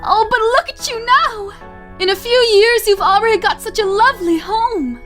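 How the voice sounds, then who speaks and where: Woman acting gushing high-pitched glamorous flirt. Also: fairly bright tone. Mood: excited, affectionate. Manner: effusive, teasing, drawn-out flourishes. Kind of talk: audio drama acting. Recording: music under the voice.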